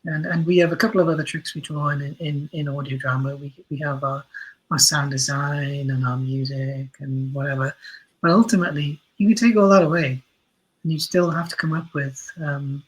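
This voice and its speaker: A man with a husky, slightly high voice talks casually as an interview guest. He explains his points in an animated way, often stumbling and correcting himself as he goes. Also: normal speed, poor audio. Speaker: interview guest, audio drama writer and director.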